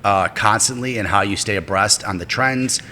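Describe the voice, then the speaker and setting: Forceful, low-voiced male podcast host. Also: moderate pace, big-room sound, slight background noise. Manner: rambling questions, frequent fillers and restarts. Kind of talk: casual interview hosting.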